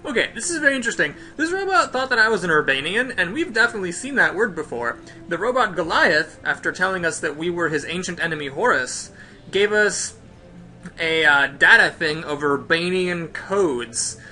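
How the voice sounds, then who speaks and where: A male game host with a bright, forceful voice. He narrates the play-through like a storyteller, stopping to recap and explain in a presenter's manner. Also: high voice, lively intonation, moderate pace, soft background music.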